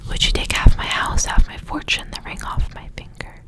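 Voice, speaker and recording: A woman speaking in a soft, breathy whisper, hushed like an ASMR reader sharing a story. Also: moderate pace. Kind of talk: whispered ASMR story reading with casual asides.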